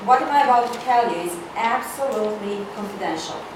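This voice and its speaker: A woman's voice: mid-range voice, lively intonation, fairly quick, close to an everyday voice. A woman cold reading the part of a domineering, haughty corporate older sister in a screenplay, bossy and dryly sarcastic. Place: indoor reading hall, recorded from far off. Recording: echoey room, distant mic, loud noise.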